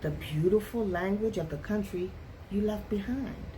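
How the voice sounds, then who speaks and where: A woman reading a picture book aloud as a teacher does for young students, with deliberate, expressive phrasing. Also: mid-range voice, slight background noise, rough recording. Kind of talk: expressive read-aloud storytelling for children.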